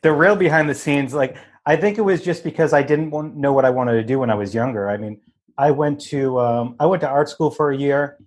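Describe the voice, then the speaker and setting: Guest: relaxed man, mild Boston accent, chatty investor, mid-pitched, quick to laugh. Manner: laughs often, easygoing. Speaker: podcast guest, real estate investor. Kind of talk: casual interview chat.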